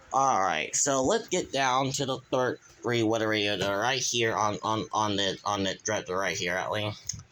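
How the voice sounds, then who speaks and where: Bright-voiced man rambling through a home vlog. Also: medium pitch, rough recording. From Kansas, USA. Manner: rambling, with many restarts and a repeated verbal tic. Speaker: channel owner, weather radio hobbyist. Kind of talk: casual show-and-tell vlog. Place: his bedroom at home.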